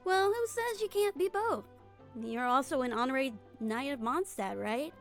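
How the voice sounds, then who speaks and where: A woman's voice: high voice, animated, moderate pace. A woman voicing a confident, easygoing young female mercenary in game dialogue, with breezy, informal persuasion. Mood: encouraging. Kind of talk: fan-dub game character dialogue. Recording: music behind the voice.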